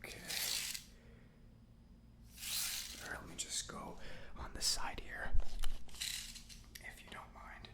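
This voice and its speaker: A man playing a gentle, reassuring robot mechanic in a soft-spoken ASMR roleplay, talking in an unhurried, slightly hesitant way. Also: roomy sound, close to an everyday voice. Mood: gentle, encouraging.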